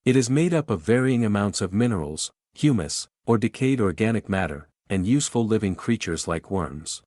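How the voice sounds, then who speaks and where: A man's voice: lowish voice, expressive, normal speed, darkish tone, no room sound, studio quality. A man reading an informational passage aloud as scripted narration. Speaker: reader of practice passages.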